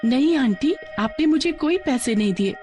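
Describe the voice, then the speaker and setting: A woman's voice: high-pitched, animated, moderate pace, warm tone, voice pushed up. A woman voicing a sweet, trusting little sister in a cartoon story, polite and childlike. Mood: polite, protesting. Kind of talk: Hindi cartoon story dubbing. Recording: music behind the voice.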